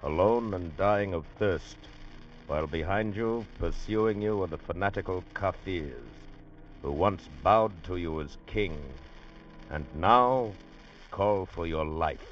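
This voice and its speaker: An actor narrating ominously and dramatically in the style of vintage radio adventure. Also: male voice, low voice, slow pace, slight background noise. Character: ominous male teaser narrator. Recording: muffled old radio recording.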